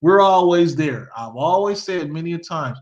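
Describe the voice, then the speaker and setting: A middle-aged man hosting a casual sports talk show, telling stories and quizzing his guest in an animated way. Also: on the high side, normal speed, highs cut off. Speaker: podcast host.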